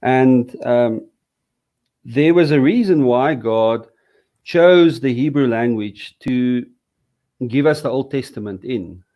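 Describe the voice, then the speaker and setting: A man from South Africa speaks haltingly, leaning on fillers and restarting his sentences. He sounds like a teacher explaining his course over a video call. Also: medium pitch, warm tone. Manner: hesitant, many fillers and restarts. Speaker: Hebrew teacher. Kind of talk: casual interview conversation.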